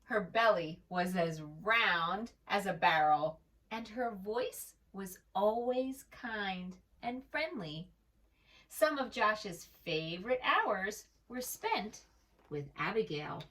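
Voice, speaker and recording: A woman reads a picture book to small children with a gentle touch and lots of melodic movement. She takes her time over each phrase and speaks to the young listener directly. The microphone sits a little way off. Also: medium pitch, takes it slowly, far from the mic. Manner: expressive, gentle, asks the listener questions. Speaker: children's storyteller. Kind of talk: storybook read-aloud for preschoolers.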